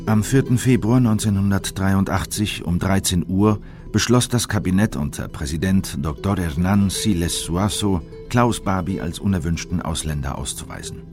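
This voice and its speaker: A man's voice: low-pitched, normal speed, hardly any pauses, music in the background. A man narrating a scripted radio documentary in a formal, factual manner. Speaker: narrator and interviewer.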